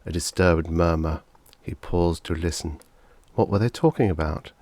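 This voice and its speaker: A man's voice: low voice, normal speed, darkish tone, no room sound. A man narrating fiction as a scripted audiobook reader.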